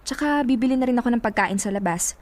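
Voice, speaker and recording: A woman's voice: a little high, quick pace, a little mellow, close to an everyday voice. An actress playing a friendly, insistent, playful young woman in a radio drama, breezy and casual, with a Filipino accent. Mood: helpful, insistent.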